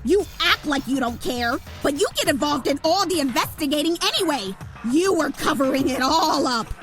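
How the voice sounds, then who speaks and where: A woman playing a hot-tempered, brash young woman in an audio drama, forceful and accusing. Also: sits high, animated, normal speed, music in the background. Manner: brash, forceful, shouting in exasperation.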